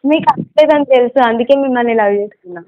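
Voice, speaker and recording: A woman with a fairly high voice speaks quickly over a dry, slightly muffled phone connection. Her chat is casual and coy. She keeps teasing and insisting as a prank caller. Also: dry recording. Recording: slightly dull, dry phone call audio.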